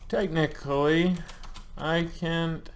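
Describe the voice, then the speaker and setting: A man's voice: fairly high pitch, fairly even intonation, takes it slowly, a little hiss or hum. Chatty man in his thirties walking through a form. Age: 30s. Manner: loose, chatty, joking, with frequent ums and uhs. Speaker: channel host. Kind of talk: casual screen-share walkthrough.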